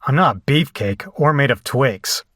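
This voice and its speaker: A man playing a frightened, battered captive in a horror audio drama, telling the ordeal in the first person with deliberate, dramatic delivery. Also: mid-range voice, expressive, fairly bright tone, no echo, studio quality. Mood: grim, haunted recounting. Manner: deliberate, dramatic storytelling with hesitant, pained dialogue.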